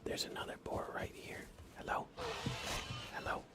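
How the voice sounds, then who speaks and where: A man giving hushed, soft-spoken ASMR gaming commentary as a live streamer, often dropping into a whisper. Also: moderate pace. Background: music.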